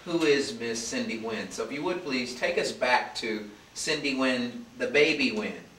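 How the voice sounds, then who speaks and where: A man's voice: medium pitch, a little slow, fairly bright tone. A man in his forties from the Midwest, hosting a casual interview with chatty, enthusiastic questions. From American Midwest. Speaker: interview host. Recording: echoey room, distant mic, faint hiss.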